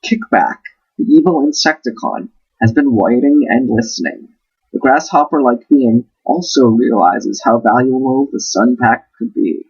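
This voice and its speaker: A man reads a children's adventure story aloud in a husky voice at a middling pitch. He is playful and drops in wry asides. The recording is a little dull and lo-fi. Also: unhurried, a little mellow. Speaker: host reading a book aloud.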